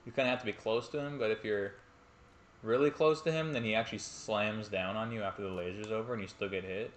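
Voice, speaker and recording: A man's voice: lowish voice, fairly even intonation, fast, echoey room, a little hiss or hum. Rambling young man streaming a game. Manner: rambling, self-mocking, swears freely. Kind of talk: casual live-stream commentary. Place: home room at night.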